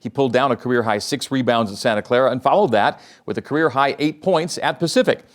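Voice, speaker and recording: Male sports show host, mid-pitched, polished scripted delivery. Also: quick pace. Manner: smooth, scripted lead-ins. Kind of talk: broadcast sports interview and narration.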